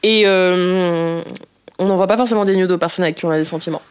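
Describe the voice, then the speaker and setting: A woman's voice: fairly low pitch, fairly even intonation, fast, clean tone. A young woman chatting casually and frankly as a podcast guest, using slang and bits of English. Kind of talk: casual chat. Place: small indoor podcast studio. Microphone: podcast microphone on a desk stand.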